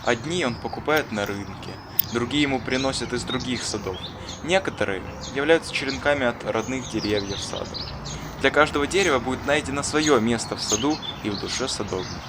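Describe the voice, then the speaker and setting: A man playing a wise, kindly schoolteacher in an educational cartoon, telling things in a measured, courteous, didactic way. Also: mid-range voice, background noise, natural voice. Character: wise, kindly male schoolteacher who loves gardening. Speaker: teacher. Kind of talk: scripted storytelling in an educational cartoon. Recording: music behind the voice.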